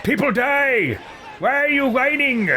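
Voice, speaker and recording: Snooty merciless fantasy tyrant, high imperious voice, game roleplay, noisy behind. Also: male voice, lively intonation, measured pace, a crowd in the background. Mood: scornful. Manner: imperious, contemptuous, declamatory.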